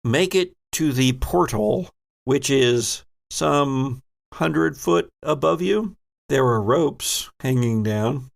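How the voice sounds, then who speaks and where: A man's voice: medium pitch, takes it slowly, creaky. A man narrating a tabletop role-playing game as game master, addressing the players with dramatic storytelling.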